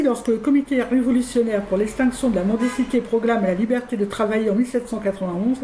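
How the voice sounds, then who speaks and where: A woman's voice: fairly high pitch, mellow. A woman presenter reading scripted satirical commentary in a formal, declamatory, rhetorical manner. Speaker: radio show presenter. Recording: roomy, faint background noise.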